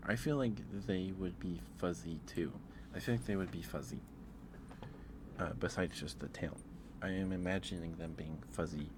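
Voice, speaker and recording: A man with a low-pitched voice hosts a casual ASMR stream. He speaks softly and hesitantly, rambling as he thinks aloud. There is clear background noise on the recording. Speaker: livestream host. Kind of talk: casual ASMR livestream chat, ranking aloud.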